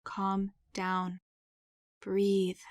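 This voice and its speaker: A woman playing a calm guardian in an audio drama, firm but tender, with a soothing, instructive manner. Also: medium pitch, extremely slow, dry recording, studio quality. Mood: firm, steadying.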